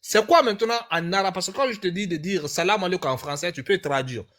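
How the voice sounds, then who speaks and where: A man's voice: sits high, fast, fairly bright tone. A man hosting a live discussion, pressing his guests with emphatic, repeated questions.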